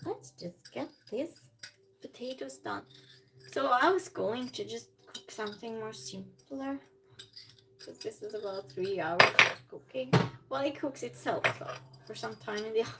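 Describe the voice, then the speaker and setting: High-voiced woman chatting, non-native English speaker. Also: animated, measured pace, background noise. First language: not English. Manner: rambling, reads viewer comments aloud and replies. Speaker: live streamer. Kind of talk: casual livestream chat while cooking. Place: indoors at home while cooking.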